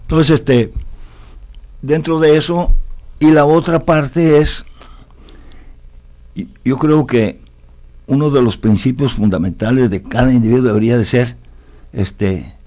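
Mellow low-voiced man from Italy. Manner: philosophical, often seeks agreement with tag questions. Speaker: interview guest, winemaker. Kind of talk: reflective conversational interview.

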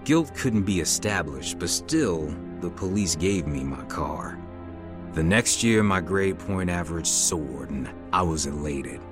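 A man's voice: low voice, expressive, unhurried, over music. An actor playing a troubled young man who tells his testimony earnestly in a scripted radio drama. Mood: somber, reflective.

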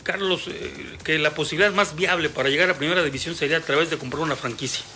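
A man's voice: fairly high pitch, fast talker, pushed and bright, a little hiss or hum. A man hosting a sports radio interview, chatty and rambling with many fillers.

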